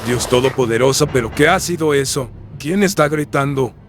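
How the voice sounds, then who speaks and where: A man's voice: medium pitch, moderate pace, soft background music, voice pushed up, lightly acted. A man voicing a devout, brave colonial friar in a horror radio drama, speaking formally, earnestly and with deference. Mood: alarmed. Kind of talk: audio drama acting. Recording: clear background noise, clicks and crickets.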